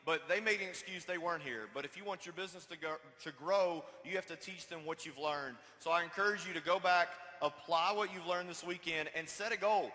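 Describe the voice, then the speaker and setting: A young man in his twenties rallies the crowd in an energetic, punchy way. His voice is high and bright. A long hall reverb trails every phrase. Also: fairly quick, few pauses. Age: 20s. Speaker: speaker at a business event. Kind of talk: motivational stage speech. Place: large hall on stage.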